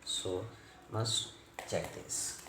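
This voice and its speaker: A man giving an unscripted hands-on repair tutorial as a technician, speaking non-native English in halting, piecemeal phrases and repeating words. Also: low-pitched, creaky. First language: not English. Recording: roomy echo, faint background noise.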